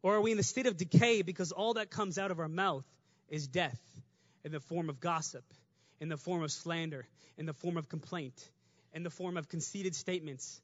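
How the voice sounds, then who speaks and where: A man preaching a lively, conversational sermon, talking fast and rising to shouts at the climax. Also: on the high side, no room sound, dull audio.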